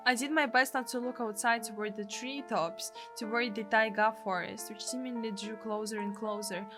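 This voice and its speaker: A woman's voice: fairly high pitch, steady tone, moderate pace, music in the background. A woman from Russia, reading a visual novel's story aloud expressively as a video host.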